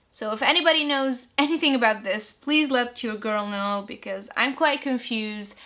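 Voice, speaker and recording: A young woman with a bright, fairly high voice talks through her reading plans in English, which is not her first language. She rambles a little and often restarts her sentences in a relaxed, chatty way. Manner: chatty, rambling, self-correcting. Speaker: book vlogger. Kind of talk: casual chat about reading plans.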